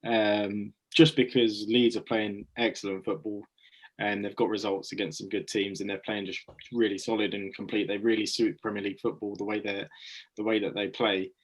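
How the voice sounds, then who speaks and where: Lower-voiced man weighing his picks. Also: flat delivery, low-quality recording. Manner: measured, lots of ums. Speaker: guest pundit. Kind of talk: casual football chat.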